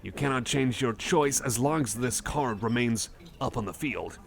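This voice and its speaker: A man voicing a friendly, nerdy cyborg card collector in an ASMR roleplay, rambling casually with a halting, apologetic manner. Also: mid-range voice, creaky, a little hiss or hum, natural voice. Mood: curious, casual. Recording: cafe chatter behind the voice. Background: cafe crowd ambience.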